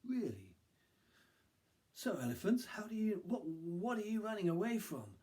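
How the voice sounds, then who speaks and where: A man voicing a wise, calm lion in a told story, asking measured questions. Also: sits high, voice pushed up. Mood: curious questioning.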